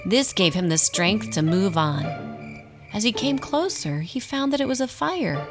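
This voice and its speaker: A woman narrating a scripted radio drama in an expressive storybook style. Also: medium pitch, measured pace, over music.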